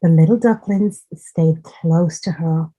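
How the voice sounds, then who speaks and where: A woman reads a children's story at a very slow, deliberate pace. Her voice is mellow and somewhat husky, and she is expressive, addressing young listeners directly. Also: sits low, low-quality recording.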